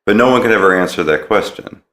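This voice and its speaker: A man's voice: low-pitched, expressive, fast, fairly bright tone. A man hosting a podcast, interviewing his guest in a casual, conversational way. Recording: roomy, echoey sound.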